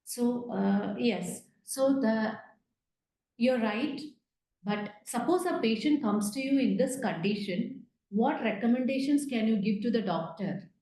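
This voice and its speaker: A woman's voice: fairly high pitch, unhurried, dark tone. A woman giving an interactive medical lecture online, prompting her learners with questions. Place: indoors, virtual class over video call. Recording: roomy, echoey online call audio.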